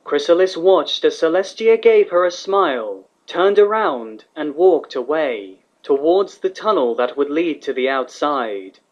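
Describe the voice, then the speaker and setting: A man's voice: on the high side, animated, unhurried, hardly any pauses. A man reading scripted fantasy fiction as the narrator. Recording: slightly dull, lo-fi recording.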